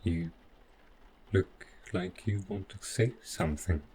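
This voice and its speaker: A man's voice: low-pitched, takes it slowly, warm tone, a little hiss or hum. A man playing a polite, devoted robot butler in an audio drama, speaking in a formal, stiffly precise and deferential manner. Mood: calm, attentive. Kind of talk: scripted roleplay audio drama.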